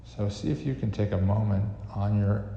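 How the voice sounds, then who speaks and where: A man with a very low, gravelly voice leads a guided meditation, leaving long pauses between soothing, unhurried instructions. His words ring out in a long, echoing reverb. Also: fairly even intonation, mellow, a little hiss or hum. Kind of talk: guided meditation with astrology teaching. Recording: reverberant echo, slightly muffled, music behind. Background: music.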